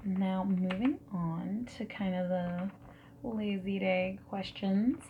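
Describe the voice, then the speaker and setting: Woman as friendly matchmaking interviewer for ASMR roleplay: soft, whispery, creaky voice, gentle questions, audible background noise and typing. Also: mid-range voice, narrow, tinny audio. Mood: friendly, curious. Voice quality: breathy, often slipping into whisper. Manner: gentle, unhurried, reassuring, asking questions. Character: friendly, nonjudgmental dating service interviewer.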